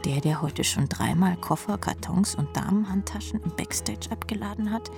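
A woman's voice: mid-range voice, normal speed, vocal fry, over music, natural voice. A woman playing a jaded, sardonic rock drummer who narrates her own life drily in a radio play. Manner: dry, sardonic. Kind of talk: scripted first-person radio drama narration.

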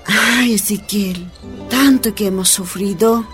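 Actress as a weak, slow, suffering Bolivian mother. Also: mid-range voice, faint music behind, lightly acted. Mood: anguished, pleading. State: weak, moaning. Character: suffering, dying Bolivian mother pleading with husband. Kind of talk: radio soap opera acting.

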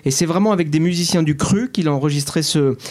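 A man with a somewhat high voice presents a music programme. He introduces a record and then asks his guest questions, stumbling over his words. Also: fast talker, a little mellow. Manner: hesitant, with many fillers when addressing the guest. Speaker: radio host. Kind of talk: presenting music between songs.

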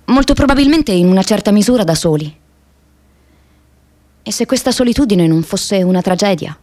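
An actress playing a restless, wry young American woman activist in an expressive, introspective radio drama monologue. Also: medium pitch, normal speed, close to an everyday voice. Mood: earnest, reflective. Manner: expressive, introspective, shifts between playful and wistful.

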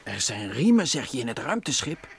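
An actor playing a sceptical, testy earthling adventurer in a children's radio play. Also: male voice, medium pitch, sing-song, normal speed, slight background noise. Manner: doubtful, testy.

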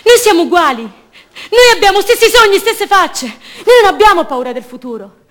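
An actress playing a young, idealistic student activist held prisoner, delivering an intense, passionate theatrical monologue for radio drama. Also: female voice, extremely high pitch, very animated, normal speed. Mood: fervent. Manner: intense, swinging from hushed repetition to fervent declaiming.

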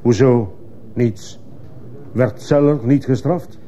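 A man's voice: mid-range voice, takes it slowly, dark tone, close to an everyday voice. A man playing a stern, probing judge who fires insistent questions in a radio courtroom drama. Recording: muffled, hissy old radio play recording.

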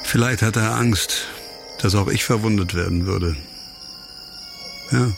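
A man acting a grave, bitter, disgraced former doctor in a radio crime drama, speaking reflectively in sparse, halting phrases. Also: on the low side, vocal fry, soft background music. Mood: somber, reflective.

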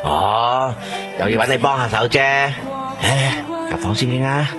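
An actor playing a smooth-talking middleman who coaxes a woman on a boss's behalf in a radio drama. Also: medium pitch, unhurried, music in the background, close to an everyday voice. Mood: coaxing. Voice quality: wheedling, persuasive. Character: smooth-talking middleman running errands for a boss. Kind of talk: Hong Kong radio drama acting.

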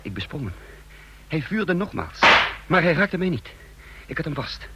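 A man's voice: fairly high pitch, big pitch swings, normal speed, muffled recording, heavy background noise. An actor playing a tense, wounded secret agent who narrates his own mission in a radio drama. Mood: tense, dramatic. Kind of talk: radio drama acting and first-person narration.

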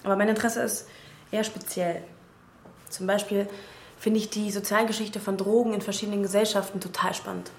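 A woman's voice: mid-range voice, flat delivery, brisk, lightly acted. Self-assured young woman, acted, echoey room. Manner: matter-of-fact, confident, articulate. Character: self-assured, clever young pharmacology student. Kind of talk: naturalistic radio drama acting. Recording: echoey room, faint noise.

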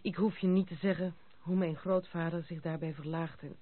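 A woman's voice: lowish voice, fairly even intonation, moderate pace, lightly acted. An actress playing a proud, outspoken young noblewoman with some bitterness in a Dutch radio play. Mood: bitter, confiding. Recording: muffled, noisy, narrow-band old radio recording.

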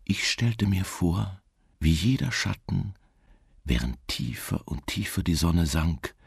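A melancholy wanderer telling a gothic tale, super deep raspy voice, really slow n hushed, radio drama style, bone dry sound. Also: male voice, sing-song, no room sound, heavily acted. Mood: somber, brooding. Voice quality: husky, gravelly, hushed. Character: solitary dreamy male wanderer recounting a melancholy gothic tale.

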